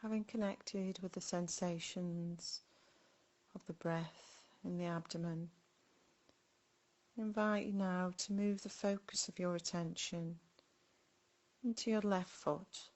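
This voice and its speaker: A woman leads a guided meditation at a very slow pace. Her voice sits a little low, with a flat, even melody. She is gentle and leaves long pauses between short phrases. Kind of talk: guided body scan meditation.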